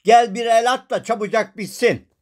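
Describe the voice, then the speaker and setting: High voiced polite flowery cartoon gentleman, theatrical, wordy, kind. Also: normal speed. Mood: pleading. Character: Hacivat, courteous, wordy, forgiving man in shadow-puppet cartoon. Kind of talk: animated children's cartoon acting.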